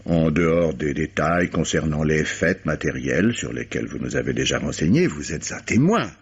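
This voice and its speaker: Shrewd, husky examining magistrate. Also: male voice, a bass voice, animated, exaggerated voice. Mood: formal, probing. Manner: formal, hesitating, ironic, deliberate phrasing. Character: dry, shrewd, insinuating male examining magistrate. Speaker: radio drama actor. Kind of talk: vintage French radio mystery acting. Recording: dull vintage radio recording.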